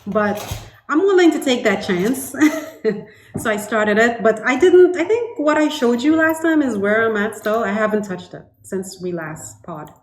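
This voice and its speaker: A woman's voice: fairly high pitch, sing-song, normal speed, a little hiss or hum. A woman in her forties from Trinidad, co-hosting a knitting podcast in casual chat, laughing often and trailing off with ums. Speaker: podcast co-host. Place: home living room, indoors. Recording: echoey room.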